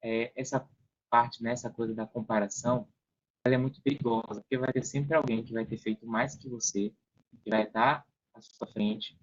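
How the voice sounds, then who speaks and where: A man's voice: medium pitch, fairly even intonation, moderate pace, highs cut off, slight background noise. A man with a Brazilian accent explaining things casually as a podcast guest, acting out hypothetical quotes as he goes.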